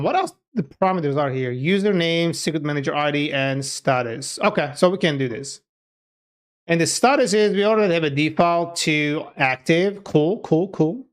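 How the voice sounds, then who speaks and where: Smooth-voiced Turkish-accented man, slow-paced. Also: on the high side. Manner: informal, chatty, thinking aloud. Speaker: live streamer and programmer. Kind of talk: casual livestream chat while coding.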